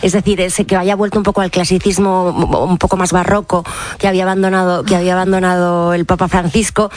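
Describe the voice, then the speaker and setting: A woman's voice: fairly low pitch, little pitch movement, quick pace. Woman guest commentator, chatty, loose, mid-range voice. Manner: chatty, with many restarts. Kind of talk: live radio panel chat.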